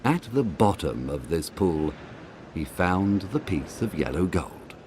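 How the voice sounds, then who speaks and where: A man narrating a scripted fairy tale in a dramatic, deliberate storytelling manner. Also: deep voice, very animated, a little mellow, slight background noise.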